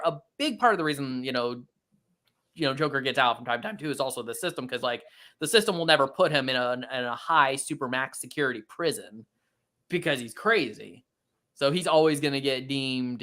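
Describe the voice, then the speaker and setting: A man's voice: mid-range voice, pushed and bright. A man in casual fan discussion, talking in a hedging, roundabout way with many filler phrases. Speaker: guest co-host from a geek show.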